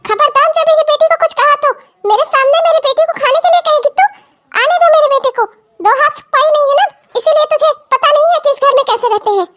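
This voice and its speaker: A woman's voice: extremely high pitch, fast talker, a bit bright. A woman playing a domineering, hot-tempered mother-in-law in a family melodrama, scolding and commanding. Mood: furious, threatening. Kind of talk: Hindi family melodrama story acting.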